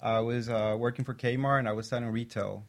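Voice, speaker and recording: A man's voice: mid-range voice, little pitch movement. Male talk show guest, reflective. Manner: reflective, measured, a few restarts.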